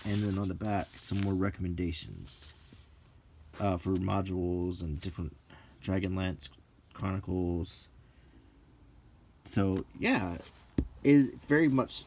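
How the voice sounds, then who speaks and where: A middle-aged man hosting a casual, ASMR-like show-and-tell, reading out names in a halting, list-like way with brief musing asides. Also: low-pitched, noisy background. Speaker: video host.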